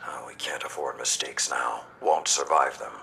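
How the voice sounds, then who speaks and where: An actor playing a gruff, distrustful old warlord in a game cutscene, gravelly, terse and severe. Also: male voice, very deep voice, steady tone, normal speed, slight background noise, exaggerated voice. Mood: disapproving. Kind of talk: video game cutscene acting. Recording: loud music behind voice.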